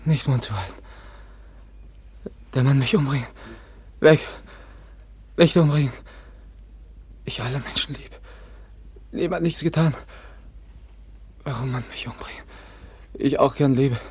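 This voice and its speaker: An actor playing a wounded, frightened young man in a radio play, speaking in weak, halting broken phrases, sometimes whispered. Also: mid-range voice, a little mellow, slightly rough. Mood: terrified pleading. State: weak and injured. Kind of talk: 1960s German radio play acting.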